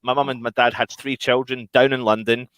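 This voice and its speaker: A man's voice: mid-range voice, little pitch movement, a little fast, a bit bright, clean tone, no room sound. A Scottish man from Scotland, co-hosting a casual live stream with jokey banter and frequent restarts.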